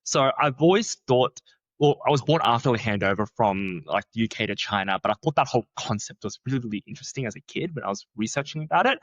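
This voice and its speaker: A young man who is a researcher, talking in a chatty, animated way and filling his sentences with "like.". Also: quick pace, dry recording, highs cut off. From Australia. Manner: chatty, many "like" fillers, lively swings in pitch. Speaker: researcher, podcast guest. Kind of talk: casual conversational interview.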